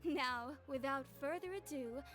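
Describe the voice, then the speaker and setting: A woman's voice: high voice, measured pace, fairly bright tone. A woman voicing an enthusiastic product launch presenter in a video game, with polished promotional delivery. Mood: gushing, formal. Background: game music.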